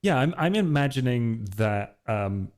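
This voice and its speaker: A man's voice: mid-range voice, expressive, unhurried, darkish tone. A man hesitantly and thoughtfully improvising a description of his character as a player in a tabletop roleplaying game.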